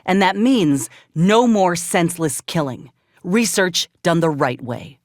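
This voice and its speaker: A woman's voice: fairly low pitch, expressive, a little slow, a little mellow, no echo, studio quality. An actress playing a determined, scrappy soldier woman in a video game, with blunt, folksy frontier speech. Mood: earnest, persuasive. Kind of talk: video game character dialogue.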